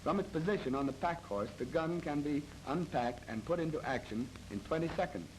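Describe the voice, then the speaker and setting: An American man narrates a military training film in a formal, measured, matter-of-fact 1930s instructional style. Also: fairly high pitch, expressive. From United States. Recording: noisy old 1930s film soundtrack.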